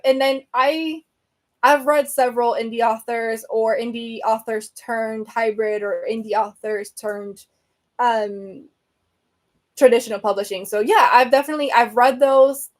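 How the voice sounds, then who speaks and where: A woman from Venezuela hosting a book podcast, chatting casually on a live stream and answering viewer comments, rambling with many fillers and self-corrections. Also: on the high side.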